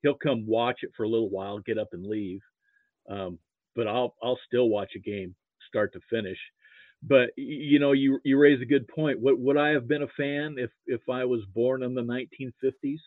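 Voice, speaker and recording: A middle-aged American man with a Midwestern accent, chatting casually as an interview guest in a halting, hesitant way. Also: mid-range voice, fairly even intonation, no room sound. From rural Nebraska, United States. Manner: halting, hesitant delivery with repeated "you know". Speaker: guest, sports fan and archivist. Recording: muffled, narrow phone-like line.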